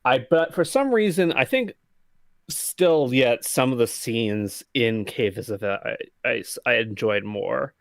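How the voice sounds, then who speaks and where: A man's voice: mid-range voice, animated, slow pace, vocal fry, fairly bright tone, no echo, clean studio sound. A man co-hosting a casual book club podcast, easygoing, laughing often. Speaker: podcast co-host.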